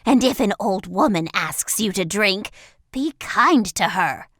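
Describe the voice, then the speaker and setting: A woman's voice: medium pitch, animated, a little slow, fairly bright tone, dry recording, clean studio sound. Woman playing a harsh, emphatic storybook mother. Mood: commanding. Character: harsh, demanding widowed mother.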